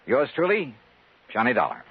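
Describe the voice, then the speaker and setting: An American actor playing a cocky, wry insurance investigator in a 1950s radio detective drama, with crisp, confident delivery. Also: male voice, medium pitch, wildly swooping pitch, moderate pace, exaggerated voice. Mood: brisk, wry narration. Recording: muffled 1950s radio broadcast recording.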